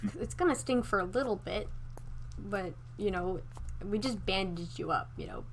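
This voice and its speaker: A woman's voice: mid-range voice, lively intonation, moderate pace, a little hiss or hum, close to an everyday voice, rough recording. A woman playing a wary medical assistant in an improvised zombie roleplay game, casual, hesitant and a little sardonic.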